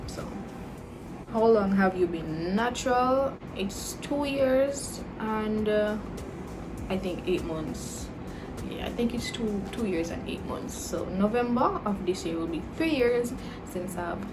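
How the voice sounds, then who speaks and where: A woman's voice: mid-range voice, takes it slowly, music in the background, low-quality recording. A 21-year-old woman with a Caribbean English accent mixing Jamaican and Dominican, chatting casually as she answers viewer questions, with a lot of "like" and "you know". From Caribbean, Jamaican and Dominican roots. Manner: chatty and relaxed, lots of "like" and "you know" fillers. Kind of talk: casual Q&A vlog chat.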